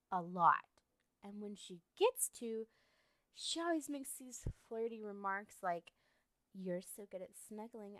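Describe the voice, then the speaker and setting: A woman's voice: mid-range voice, fairly bright tone, dry recording. A woman playing a lovestruck, obsessive young woman in an ASMR roleplay, talking to the listener in a coy, rambling, hesitant way.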